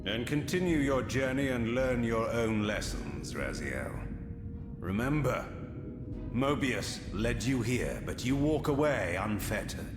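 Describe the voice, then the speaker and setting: An actor playing a commanding, enigmatic vampire lord in a video game, grave and portentous in his measured speech. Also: medium pitch, animated, close to an everyday voice. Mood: grave, urging. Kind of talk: dramatic video game cutscene acting. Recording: echoey hall reverb with loud music behind.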